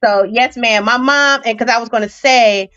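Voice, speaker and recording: A woman's voice: a little high, normal speed, fairly bright tone, faint music behind. A woman co-hosting a podcast, talking at length in a casual, rambling way. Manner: rambling, lots of fillers and restarts. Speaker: co-host. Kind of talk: casual interview chat. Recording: dull lo-fi audio.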